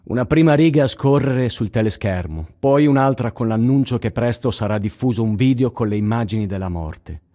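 A man's voice: medium pitch, brisk, hardly any pauses, warm tone, lightly acted. An actor playing a sombre male chronicler, narrating a scripted radio theatre piece. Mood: sombre, grave.

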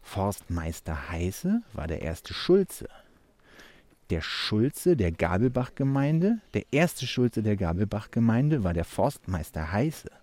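Man, low register, unhurried, animated melody, spoken-word observational delivery. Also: dry recording. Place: outdoors on a forest trail.

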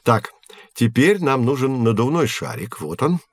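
Patient physics prof teaching kids, clear explainer style, audio drama, dry close sound. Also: male voice, medium pitch, big pitch swings, measured pace, dry recording. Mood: instructive. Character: patient male physics professor demonstrating experiments to children. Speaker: actor in an educational audiobook.

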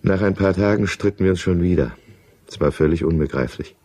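A very deep-voiced, downcast businessman who has taken to drink. He speaks as in a radio play confession, brooding and fussy over small grievances, justifying himself with deliberate care. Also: mellow. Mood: rueful, confessional. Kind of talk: confessional first-person radio drama narration.

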